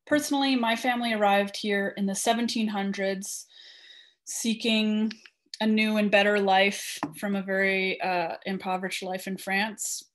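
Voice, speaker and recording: A woman's voice: mid-range voice, level tone, moderate pace, fairly bright tone. A woman with a Canadian accent, a guest speaker at an online meeting, reading a prepared personal statement over a video call.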